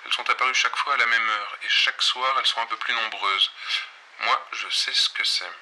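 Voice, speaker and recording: A man playing an irreverent, weary pirate radio host in an audio fiction, talking in an offhand, sardonic, halting and slangy late-night monologue. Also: mid-range voice, steady tone, bright, forceful tone, slight background noise, rough recording. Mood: measured, reading aloud.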